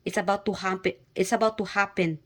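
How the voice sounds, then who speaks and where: A woman from the Philippines with a Filipino accent gives a casual tarot reading to her viewers, pausing often with fillers and repeating herself. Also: medium pitch, steady tone, a bit bright. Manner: hesitant, many fillers and repeats, speaks directly to viewers. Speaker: tarot reader.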